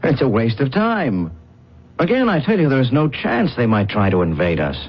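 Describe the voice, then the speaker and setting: An actor playing a proud, bitter disgraced alien space captain in a radio drama, with formal, theatrical defiance. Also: male voice, mid-range voice, sing-song, normal speed, natural voice. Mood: defiant. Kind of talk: old-time radio drama acting. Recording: dull, noisy radio drama recording.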